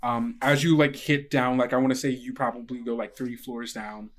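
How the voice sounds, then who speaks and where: A man running a tabletop game as game master, narrating off the cuff in a casual, halting, colloquial way. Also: medium pitch, little pitch movement. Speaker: game master and podcast host.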